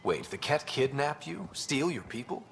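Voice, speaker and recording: An earnest young explorer and leader, speaking in a natural mid-range voice. The delivery is sincere, conversational game dialogue, with faint ambience behind it. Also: male voice, mid-range voice, sing-song, normal speed, creaky, fairly bright tone, slight background noise. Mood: concerned.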